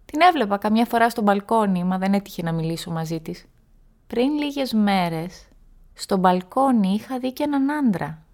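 A woman's voice: medium pitch, lively intonation, a little mellow, lightly acted. A young woman acting as a frank student neighbour in a radio drama, speaking matter-of-factly. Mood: curious, cautious.

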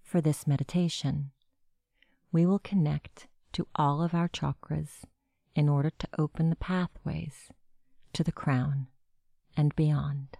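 A woman narrating a guided meditation with gentle, measured instructions. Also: sits low, fairly even intonation, creaky, no room sound, studio quality.

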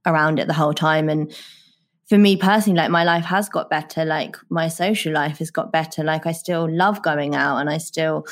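A woman's voice: low-pitched, fairly even intonation, moderate pace. A British woman hosting a conversational interview in an informal, earnest way, sharing her own experience. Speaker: podcast host.

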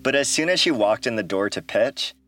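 A man hosting a podcast, reading scripted narration in a clear, measured way. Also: mid-range voice, lively intonation, fairly bright tone, soft background music. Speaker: podcast host.

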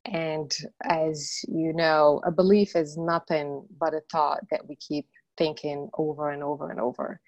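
Casting: earnest middle-aged woman from Morocco, low voice, dry close sound, talks through her story with lots of fillers. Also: steady tone, a little slow, a little mellow, dry recording, muffled recording. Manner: earnest, frequent "you know" fillers. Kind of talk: interview guest telling her story.

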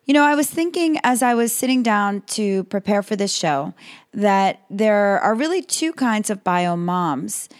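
A woman hosting a podcast, slightly hoarse, reading calm advice in a scripted monologue. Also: medium pitch, a little slow, dry recording, clean studio sound. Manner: calm, advising. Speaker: podcast host.

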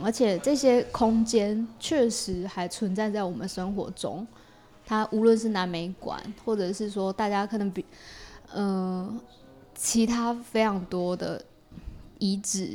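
A woman's voice: medium pitch, mellow. A woman with a Taiwanese Mandarin accent talks as an interview guest, explaining casually and thoughtfully, often qualifying and correcting herself.

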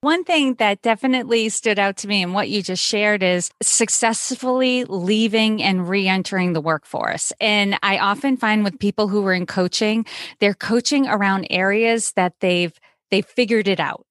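A woman's voice: mid-range voice, moderate pace, a bit bright, dry recording, studio quality. A woman hosting a podcast, giving upbeat, scripted introductions and then asking questions. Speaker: podcast host.

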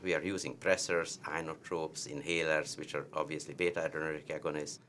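A man's voice: very deep voice, level tone, fairly quick, fairly bright tone, slight background noise. A man with a heavy Hungarian accent, a cardiologist explaining treatment with emphatic repetition of key points. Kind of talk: medical teaching discussion.

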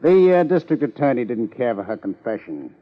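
Dry, teasing American police lieutenant, lively delivery, old radio crime show with dull sound. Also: male voice, medium pitch, a little fast. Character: sardonic, needling male police homicide lieutenant. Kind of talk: 1950s American radio detective drama acting. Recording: dull 1950s radio recording.

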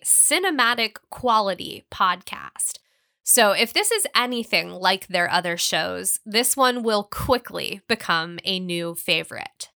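An American woman presents scripted podcast recommendations as the host. Her voice is clearly bright and sits at a middle pitch for a woman. The sound is dry, with little room around it. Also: no echo.

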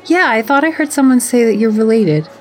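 Woman playing a curious, friendly, lively young woman. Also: on the high side, brisk, faint music behind. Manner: casual, curious, reassuring. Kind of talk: dramatised fan fiction reading.

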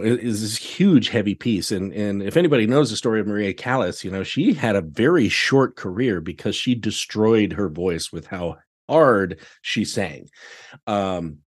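Animated male guest telling casual anecdotes. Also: fairly low pitch. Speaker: podcast guest, theatre director.

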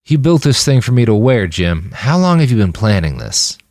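A man's voice: fairly low pitch, very animated, moderate pace, darkish tone, no room sound, clean studio sound, voice pushed up. A man voicing a reluctant, indignant male veteran soldier in an audiobook, with a blunt, challenging manner. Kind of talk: audiobook character voice.

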